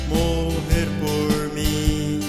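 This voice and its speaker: A woman sings a plaintive hymn at an extremely slow pace, low and flat in pitch. Her voice rings in an echoey space. A loud guitar accompaniment carries the song.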